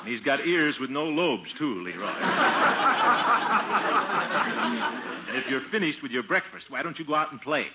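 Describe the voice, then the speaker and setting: Pompous jolly uncle, huge swooping pitch, blustery, chuckling, old radio sitcom, muffled noisy recording. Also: male voice, very animated, drawn-out words, long pauses, full character voice. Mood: smug. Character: pompous, jolly middle-aged uncle, blustering and fond of himself. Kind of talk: 1950s radio situation comedy acting.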